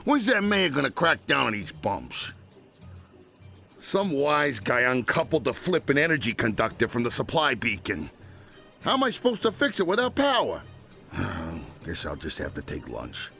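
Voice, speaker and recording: An actor playing a grumpy blue-collar union repairman in a video game, griping and wisecracking in a gravelly voice. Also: medium pitch, huge pitch swings, normal speed, bright, forceful tone, soft background music, heavily acted. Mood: grumbling. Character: grumpy working-class union repairman, put-upon griper. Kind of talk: animated video game cutscene acting.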